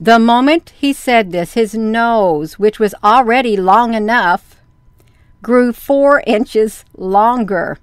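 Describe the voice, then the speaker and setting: Middle-aged lady reading a kids story, slow, folksy, easily amused, close dry mic. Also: fairly high pitch, clean tone, dry recording. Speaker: storyteller, host. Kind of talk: reading a children's story aloud, with casual asides. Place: small room indoors. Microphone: large desk microphone up close.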